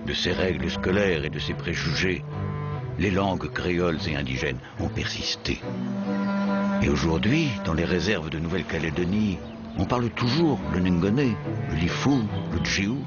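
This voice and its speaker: A deep male voice reads a documentary script in a solemn, measured way. The sound is slightly dulled, and loud music plays behind him. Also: highs cut off. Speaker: documentary narrator. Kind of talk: scripted narration.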